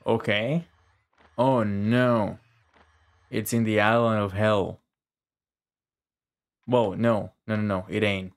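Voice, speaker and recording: A man's voice: lowish voice, fairly even intonation, slow pace, pauses often. A man giving casual live game commentary as a streamer, informal and sweary, muttering to himself and to the game. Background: game music.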